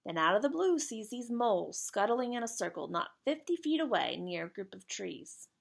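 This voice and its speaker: Woman reading as a plucky, earnest storybook lad with gravelly edges. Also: medium pitch, sing-song, moderate pace. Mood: proud storytelling. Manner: earnest, colloquial, dropped-grammar speech. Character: plucky, friendly working-class British boy, slangy storybook lad. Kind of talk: children's storybook character read aloud.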